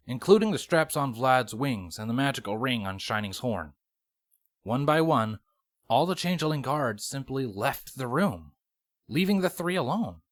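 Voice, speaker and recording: A man reading scripted story narration as a storyteller. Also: mid-range voice. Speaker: narrator reading fan fiction. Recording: music playing behind the voice.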